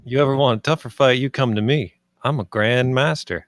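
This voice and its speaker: A man's voice: medium pitch, a little fast, dry recording, clean studio sound, lightly acted. A man reading the part of an ailing but wry, easygoing man in a screenplay table read, matter-of-fact. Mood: friendly.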